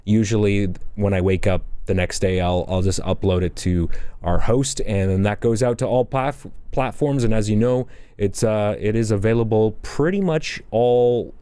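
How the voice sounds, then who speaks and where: Male producer-cohost, low and warm, dry studio sound, measured technical explainer. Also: clear, resonant tone, no echo. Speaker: producer and co-host. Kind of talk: casual tech talk show chat.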